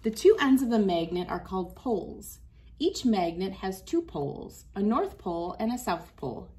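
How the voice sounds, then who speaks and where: A woman's voice: mid-range voice, big pitch swings, normal speed, a little mellow. A woman in her thirties reads a picture book aloud to young children, instructing them and adding casual asides as she demonstrates. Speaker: children's read-aloud host. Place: small wood-panelled room indoors.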